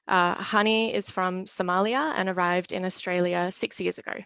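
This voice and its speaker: A woman's voice: fairly low pitch, steady tone, moderate pace, creaky, fairly bright tone, smooth, no room sound. A woman hosting an online event, reading a formal introduction with some "um" and "uh" fillers. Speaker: event host, solicitor.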